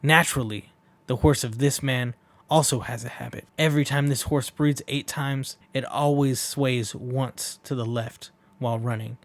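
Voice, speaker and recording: A man's voice: mid-range voice, fairly even intonation, moderate pace. A man hosting a manga read-along in a casual, informal way, with rambling asides between the lines he reads.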